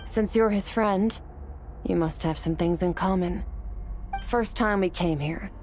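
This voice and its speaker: An actress playing a calm, resolute female swordswoman in a video game, cool and composed. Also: fairly low pitch, unhurried, faint music behind, lightly acted.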